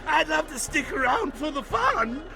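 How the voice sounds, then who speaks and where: A man's voice: very high-pitched, big pitch swings, normal speed, creaky, raised voice, heavy background noise, exaggerated voice. A man playing a gleeful, villainous clown in a cartoon game, gloating and taunting with theatrical flair over music.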